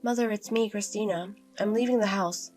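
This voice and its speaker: Woman doing a meek, soft-spoken young wife. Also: medium pitch, fairly even intonation, moderate pace, soft background music, close to an everyday voice. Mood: quietly resolved.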